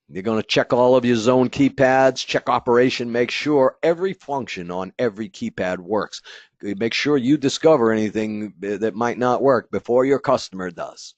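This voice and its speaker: A low-voiced man leads a training webinar for installers in a loose, rambling way, full of "uh" and restarts. His voice comes across close and dry, with a slightly dull, muffled tone. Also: no room sound. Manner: casual, rambling, many fillers and restarts; acts out an installer's inner thoughts. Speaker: company product trainer.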